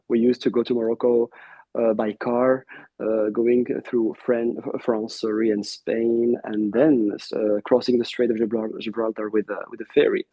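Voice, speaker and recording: A man from Belgium who first spoke Arabic, a guest in a casual interview, talking in a warm, reflective way with many restarts and fillers. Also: mid-range voice, dry recording, muffled recording.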